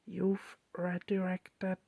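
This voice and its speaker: Gentle, patient therapist, played by a woman: measured ASMR whispering, mellow and lowish, unhurried. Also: monotone, vocal fry, no echo, exaggerated voice. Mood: gentle, affirming. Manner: slow, hushed, measured.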